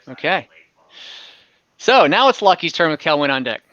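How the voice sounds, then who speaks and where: A man's voice: a little high, big pitch swings, moderate pace, bright, forceful tone, no echo, highs cut off. A man working as game master, narrating an online tabletop game casually and thinking aloud in a hesitant, improvised way. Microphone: online call microphone.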